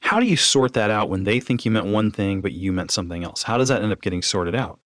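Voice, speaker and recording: A man's voice: lowish voice, fast talker, dry recording, studio quality. A man hosting a podcast, teaching conversationally through anecdotes, acting out dialogue and posing rhetorical questions. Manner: animated storyteller, acts out dialogue, asks rhetorical questions. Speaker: podcast host.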